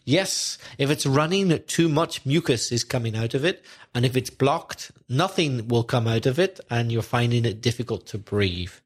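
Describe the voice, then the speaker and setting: A man co-hosting an English lesson podcast, explaining in a relaxed, agreeable way. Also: mid-range voice, normal speed, hardly any pauses. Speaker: podcast co-host, English teacher.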